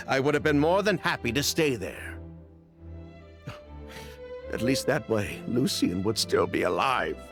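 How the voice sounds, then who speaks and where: An actor playing an evasive, self-justifying criminal in dramatic video game dialogue, with wry, sighing delivery. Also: male voice, mid-range voice, fairly bright tone, full character voice. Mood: bitter. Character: evasive, defensive criminal man confessing past wrongdoing.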